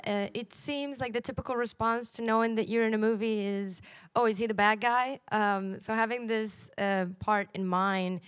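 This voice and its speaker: Smooth-voiced woman radio journalist. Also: medium pitch. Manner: polite, careful questioning. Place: press conference room.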